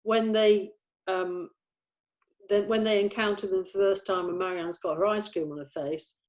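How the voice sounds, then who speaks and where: A woman's voice: mid-range voice, fairly even intonation, moderate pace, a little mellow. A woman in a casual script discussion, thinking aloud as she offers suggestions to the group. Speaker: participant in script table read.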